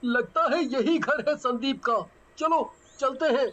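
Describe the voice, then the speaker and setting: Casting: male performer as animated young friend in a horror cartoon, piercingly high, bright tone. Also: a little slow, muffled recording. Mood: eager. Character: excitable young male friends on a night journey. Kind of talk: cartoon story dialogue.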